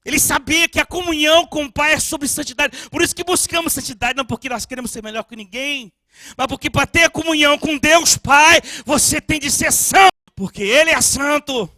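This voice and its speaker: A male pastor preaching a sermon with passion, moving between soft storytelling and shouted appeals, often stammering as he restarts phrases. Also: very high-pitched, fairly quick, no room sound.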